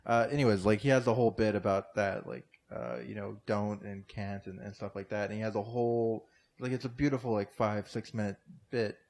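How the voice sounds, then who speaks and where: A man's voice: fairly low pitch, steady tone, brisk, darkish tone. An American man chatting casually on a comedy podcast, rambling through his points with lots of fillers and restarts. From United States. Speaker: podcast co-host or guest.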